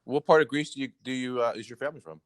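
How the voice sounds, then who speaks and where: A man hosting a podcast interview, quick and upbeat as he keeps the questions coming. He encourages his guest, and his voice is captured close and dry. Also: mid-range voice, no echo. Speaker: podcast host.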